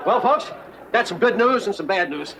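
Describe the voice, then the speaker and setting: A man's voice: sits high, animated, moderate pace. An actor playing a bumbling male police detective who talks in hesitant, fumbling bursts. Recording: old TV sitcom with loud hiss.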